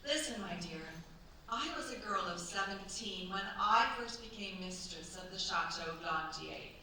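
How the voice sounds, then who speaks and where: A woman's voice: mid-range voice, normal speed, pushed and bright, natural voice. A woman acting a shrewd, coaxing older French chateau mistress in a staged radio play, with a theatrical, commanding manner. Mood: persuasive. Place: theatre stage at a cultural centre. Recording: very echoey stage, distant mic, faint noise.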